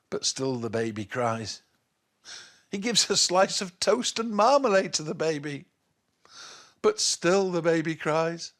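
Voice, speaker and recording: A man reading a children's bedtime story in an expressive, measured way. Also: on the high side.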